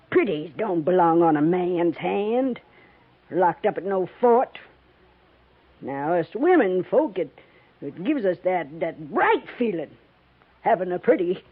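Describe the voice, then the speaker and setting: A woman's voice: fairly low pitch, breathy edge, exaggerated voice. An actress playing a tough, sly old American frontier woman with folksy, rustic speech in a 1950s radio drama. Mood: wistful. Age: older. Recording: muffled, thin 1950s radio recording.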